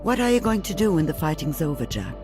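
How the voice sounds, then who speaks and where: An actress playing a weary, protective elderly mother and veteran sniper in a video game, with a dry, measured manner. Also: sits low, sing-song, over music. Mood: wry, familiar. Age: older.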